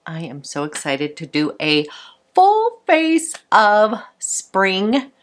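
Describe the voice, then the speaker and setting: A woman beauty vlogger chatting brightly through a makeup tutorial, a little congested from a cold. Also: mid-range voice, huge pitch swings, takes it slowly. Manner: bubbly and chatty, fond of "a little".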